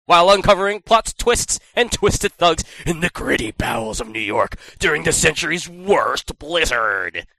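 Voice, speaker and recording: A man's voice: on the high side, sing-song, normal speed, gravelly, few pauses, bright, forceful tone, no echo. A young man reading game box copy in an overdramatic movie-trailer voice, hamming it up. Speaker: co-host.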